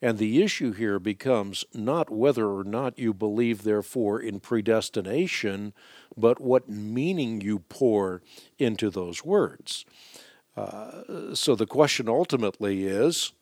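A man who speaks Dutch as his first language, hosting a call-in radio show and answering listeners' questions in a measured, deliberate way with frequent hesitations. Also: fairly low pitch, no room sound, clean studio sound. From Netherlands.

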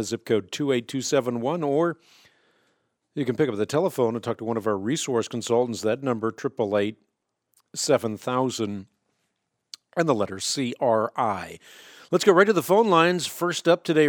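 A man hosting a call-in radio show and answering callers' questions in fluent, emphatic explanations, whose first language is Dutch, often restarting with quick repeated words. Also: mid-range voice, dry recording, studio quality. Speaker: radio talk show host.

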